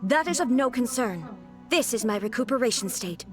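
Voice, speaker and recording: A woman's voice: fairly high pitch, animated, moderate pace, over music, lightly acted. A woman voicing an emotionless, obedient puppet ruler in a video game, speaking in a terse, solemn, formal manner. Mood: cold, detached.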